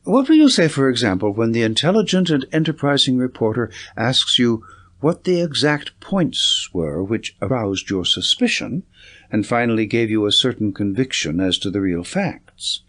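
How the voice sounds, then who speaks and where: A man's voice: mid-range voice, sing-song, moderate pace, a bit bright. A man voicing a confident, commanding detective in an audiobook, speaking in an assured, articulate, lecturing way. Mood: brisk, assured.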